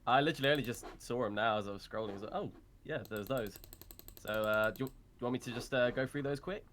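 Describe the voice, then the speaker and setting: A man's voice: medium pitch, racing, fairly bright tone, slight background noise. A man chatting casually on a live stream as a voice actor.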